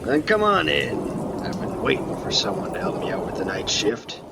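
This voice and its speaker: A man playing a laconic, folksy lighthouse keeper in a radio play, talking in a plain, unhurried, colloquial way. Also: fairly low pitch, sing-song, gravelly, very noisy. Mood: folksy, guarded. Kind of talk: 1950s-set radio play dialogue.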